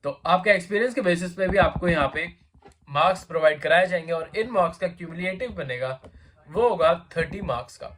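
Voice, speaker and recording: A man's voice: high voice, fairly quick, fairly bright tone, a little hiss or hum. A man hosting a job vacancy video, explaining in casual Hindi mixed with English, with a sore throat and an occasional cough. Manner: chatty, repetitive fillers, conversational address to viewers. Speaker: job vacancy channel host.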